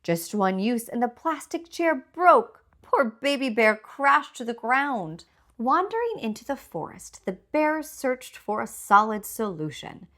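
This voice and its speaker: A woman reading a children's storybook aloud as its author, with deliberate, expressive scripted narration. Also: a little high.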